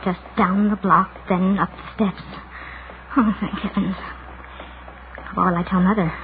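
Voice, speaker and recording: An actress playing a confused, anxious daughter in denial, in the emotional style of 1940s radio drama. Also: female voice, mid-range voice, steady tone, lightly acted. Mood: nervous, fretful. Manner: self-reproachful, halting soliloquy swinging into outbursts. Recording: noisy muffled 1940s radio recording.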